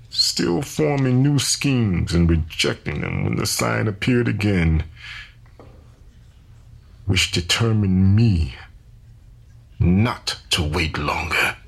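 Man acting a deep, gravelly, solemn rebel preacher. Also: full character voice. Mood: grave, resolute. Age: 30s. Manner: solemn, deliberate, scriptural phrasing. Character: enslaved visionary preacher in his thirties, solemn rebel leader. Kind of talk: dramatized first-person confession.

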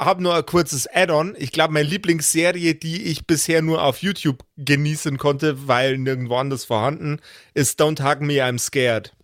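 A man in a casual podcast chat, talking hesitantly with a wry touch and drawn-out pauses. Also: fairly high pitch, no room sound, clean studio sound. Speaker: podcast regular.

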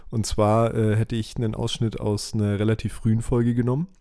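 A man's voice: on the low side, level tone, fairly quick, warm tone. A young adult man co-hosting a podcast, chatting casually and nostalgically in hesitant, circling phrases. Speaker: podcast co-host.

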